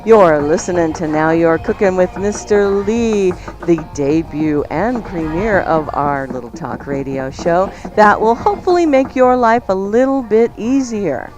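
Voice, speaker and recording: A woman's voice: fairly low pitch, unhurried, few pauses, music in the background. A woman hosting a talk radio show, presenting segments and reading sponsor copy.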